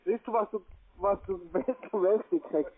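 A man's voice: sits high, normal speed. A man with a foreign accent, speaking as a non-native in broken phrasing, mocking and provoking in a phone argument. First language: not German. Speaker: call-centre scam caller. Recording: narrow, tinny phone-line sound.

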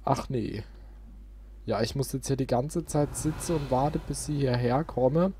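A man's voice: medium pitch, unhurried, warm tone, slight background noise. A man doing casual let's play commentary, thinking aloud with playful little interjections. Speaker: let's player, channel host. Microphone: close desk microphone. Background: game music.

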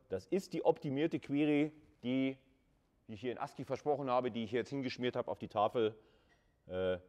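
A man lecturing at university in a conversational way, repeating words for emphasis and adding short checking tags. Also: lowish voice. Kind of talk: informal screen-recorded lecture with live coding and slides.

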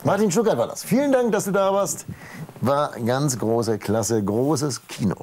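A middle-aged man hosts a studio talk show, teasing his guests in a chatty, offhand way. His pitch rises and falls a lot as he jokes. He speaks into a lapel mic in a studio. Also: animated, a little slow, darkish tone, a little hiss or hum. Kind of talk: joking casual studio chat.

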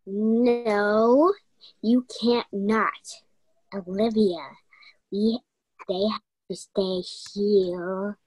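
A woman's voice: medium pitch, steady tone, extremely slow, long pauses, darkish tone, breathy edge, dry recording, rough recording. A young woman playing an insistent murder investigator in an amateur radio play. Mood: questioning. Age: young adult.

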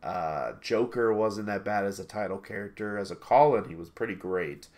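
A man's voice: on the low side, normal speed, a little mellow. Man, thirties, sniffly, rambling casual chat, headset mic, small home room, self-correcting. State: sniffly from allergies. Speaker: game reviewer co-host. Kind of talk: casual video call chat. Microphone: headset on a video call.

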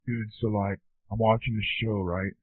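Husky dude on a tinny phone line. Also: male voice, lowish voice, flat delivery, normal speed, dry recording. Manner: surfer-dude drawl, constant bro and man. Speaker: comedy podcast performer. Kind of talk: slangy comic anecdote monologue. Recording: thin, tinny phone-like sound.

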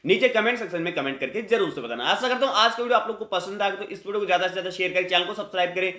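Man, 30s, high-pitched, echoey room, emphatic video news update. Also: rapid-fire, sharp tone. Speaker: tech news YouTuber. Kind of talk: direct-to-camera news update. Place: small indoor room with hard walls.

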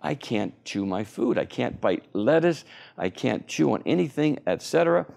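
A man's voice: medium pitch, big pitch swings, normal speed, vocal fry, a little mellow. A man in his sixties, an oral surgeon, being interviewed on a TV set, explaining eagerly with many fillers. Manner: enthusiastic, explanatory, frequent fillers. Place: TV studio.

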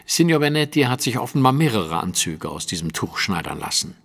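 An actor playing a precise, superior, analytical detective in an audio drama, with a didactic and pointed delivery. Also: male voice, medium pitch, very animated, fairly quick, vocal fry, fairly bright tone, big-room sound, exaggerated voice.

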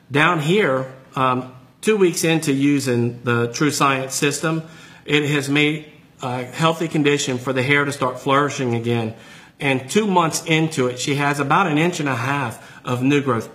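Middle-aged guy hairdresser, excited salesy pitch, lots of ums, phone video indoors. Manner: enthusiastic, salesy, with frequent ums. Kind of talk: product demonstration talk.

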